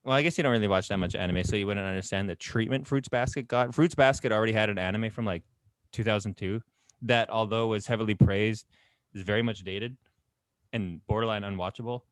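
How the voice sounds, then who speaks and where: A man hosting a casual podcast chat, animated and laughing often, with some swearing. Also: fairly low pitch, fairly quick, no room sound. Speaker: podcast host.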